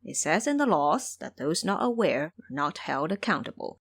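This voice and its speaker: Woman playing stern guardian god, animated delivery. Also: low-pitched, moderate pace, husky, no room sound, studio quality, heavily acted. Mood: cautioning. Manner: emphatic, explanatory. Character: authoritative male guardian god, stern, knowing, cautioning.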